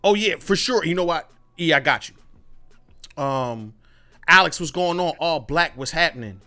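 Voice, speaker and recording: A man hosting a casual gaming podcast, talking loosely in slang with plenty of swearing and shout-outs to his chat. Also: fairly high pitch, lively intonation, measured pace. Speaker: podcast host.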